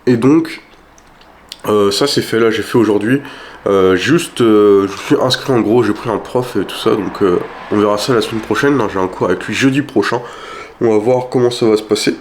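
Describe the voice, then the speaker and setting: A man's voice: fairly low pitch, moderate pace, a little hiss or hum. A young man vlogging casually about his week, rambling with lots of fillers and restarts, sounding stuffy from a cold. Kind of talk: casual weekly vlog chat.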